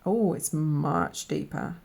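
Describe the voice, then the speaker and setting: A woman with a croaky voice from a cold, slowly musing aloud in a soft-spoken way as she tries out paints, sometimes dropping to a whisper. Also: low-pitched. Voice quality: croaky, gravelly. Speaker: art vlogger. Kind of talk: casual voice-over while swatching paints.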